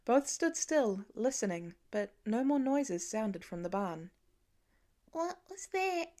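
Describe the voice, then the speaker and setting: A woman reads a piece of fiction as its narrator. Her voice sits at an average pitch for a woman, and her scripted delivery is expressive and builds suspense. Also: a little slow. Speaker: audiobook narrator.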